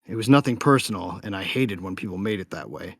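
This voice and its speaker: An actor playing a sardonic, hot-tempered young bounty hunter who narrates an audio drama in a wry, informal way. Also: male voice, deep voice, quick pace, creaky, no room sound, studio quality. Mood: angry, wry. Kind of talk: first-person scripted narration of an audio drama. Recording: music behind the voice.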